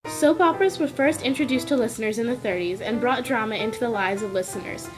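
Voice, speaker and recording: A woman's voice: fairly high pitch, fast, music in the background, low-quality recording. A woman reading scripted educational narration.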